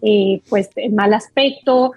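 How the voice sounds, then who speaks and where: A woman's voice: medium pitch, takes it slowly, breathy edge, no echo, highs cut off. A woman doctor, explaining a topic patiently as a guest on a talk show. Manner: explains patiently, with many fillers and restarts.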